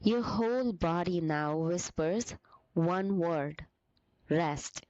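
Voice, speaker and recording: A woman leading a guided meditation as a teacher, with gentle, soothing, deliberate phrasing broken by short pauses. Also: on the low side, no echo, lo-fi sound.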